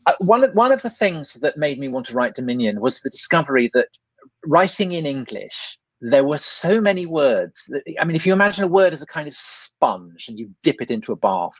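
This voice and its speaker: A man's voice: a little high, big pitch swings, fairly quick, no echo, highs cut off. A man, an author, answering an interview question, hesitating often with fillers and restarts. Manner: hesitant, many "um"s and restarts, uses imagery.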